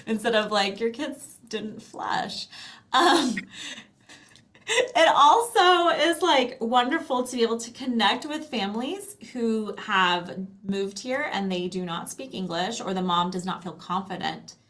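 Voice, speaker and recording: A woman's voice: fairly high pitch, lively intonation, a little slow, fairly bright tone. A woman speaking as an interview guest, explaining things conversationally with acted-out quotes and frequent tag questions. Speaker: interview guest, company founder.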